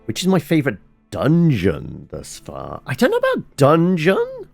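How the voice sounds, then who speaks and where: A man gives loose, off-the-cuff game commentary in a lively, swooping voice. He rambles as if talking to himself, and music plays behind him. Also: fairly high pitch, measured pace, creaky. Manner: rambling, thinking aloud to himself. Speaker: gameplay streamer. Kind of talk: casual video game commentary.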